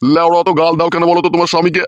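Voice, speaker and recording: A man's voice: fairly high pitch, monotone, racing, dry recording, highs cut off, natural voice. A man voicing a grumpy, suspicious old village father in a comedy cartoon. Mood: grumbling, aggrieved. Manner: grumbling, crude.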